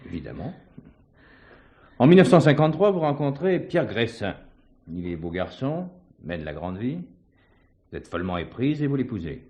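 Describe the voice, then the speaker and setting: A man playing a shrewd, assured police commissioner in a theatrical mystery, measured and ironically courteous. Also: mid-range voice, big pitch swings, a little mellow. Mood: methodical, accusing. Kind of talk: French stage mystery acting.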